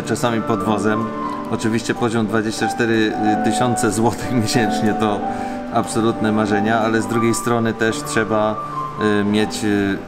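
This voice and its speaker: Man vlogging over loud guitar music. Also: on the low side. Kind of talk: casual vlog talk to viewers.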